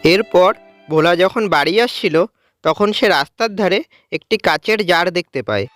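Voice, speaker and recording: A woman's voice: lowish voice, expressive, a little slow. Woman narrating over faint music. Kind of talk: scripted cartoon story narration.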